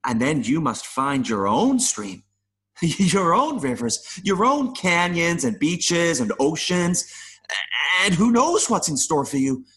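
Man voicing a slow-talking, gentle, wistful mayfly. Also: a little high, very animated, heavily acted. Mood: uplifting encouragement. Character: gentle, wistful mayfly longing to see the world. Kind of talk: audio play acting.

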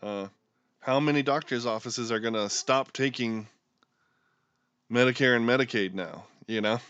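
A man's voice: mid-range voice, normal speed, fairly bright tone, no room sound, dull audio. A man co-hosting a podcast, introducing the show playfully and chatting casually about politics. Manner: jokey, theatrical introductions. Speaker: podcast co-host.

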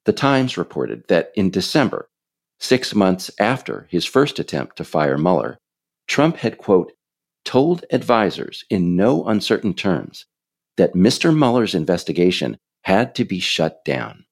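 A man reading scripted political narration as a podcast author, deliberate, with pointed pauses on key phrases. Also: deep voice, very animated, vocal fry.

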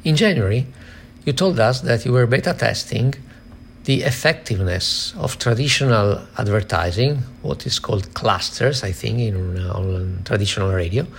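A man hosting an interview, asking questions in a conversational way with a halting, think-aloud delivery. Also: fairly low pitch, creaky, a little hiss or hum. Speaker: interviewer and host.

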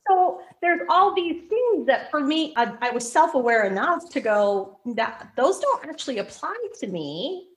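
A woman guest in an interview, telling lively personal stories with frequent restarts. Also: high voice, measured pace, few pauses, poor audio. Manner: animated storyteller, frequent restarts. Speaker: podcast guest. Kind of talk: conversational interview.

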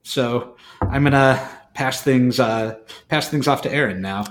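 A man's voice: mid-range voice, measured pace. A man co-hosting a podcast, explaining informally and often restarting his thoughts as he goes. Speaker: podcast co-host. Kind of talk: informal explanatory panel talk.

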